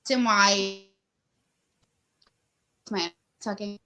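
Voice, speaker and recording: A woman's voice: mid-range voice, steady tone, extremely slow, sharp tone. A woman co-hosting a casual book chat, speaking conversationally over a remote call. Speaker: co-host of a book discussion. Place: remote video call with unstable internet.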